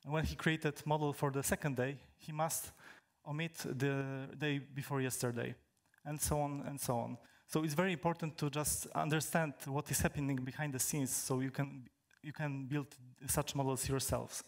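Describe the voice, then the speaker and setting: A young man from Poland, a native Polish speaker, presents to a crowd from a stage in a big indoor hall. He talks into a handheld microphone at a middling pitch. He stops and restarts often and fills the gaps with "uh". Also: fairly even intonation. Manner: halting, many fillers and restarts. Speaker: conference speaker. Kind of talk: technical talk to an audience.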